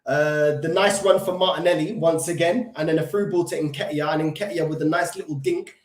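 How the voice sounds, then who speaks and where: A man with a London accent, pitched a touch high, rants about his football team in a reverberant, echoey room. He is still recovering from illness, so the voice is not at full strength. He is emphatic and repetitive, stumbles through restarts, and fills his pauses with ums. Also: steady tone. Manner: frustrated, emphatic, repeats himself with many fillers and restarts. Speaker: football fan channel host. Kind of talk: solo fan reaction commentary.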